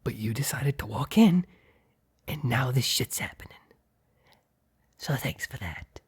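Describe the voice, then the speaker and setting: Husky, breathy mob boss boyfriend played by a man. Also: medium pitch, expressive. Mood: irritated, commanding. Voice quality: breathy, husky, often near whisper. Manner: halting, sighing, blunt, swearing. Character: tsundere male mob boss, flustered, ruthless yet pleading boyfriend. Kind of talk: intimate ASMR boyfriend roleplay.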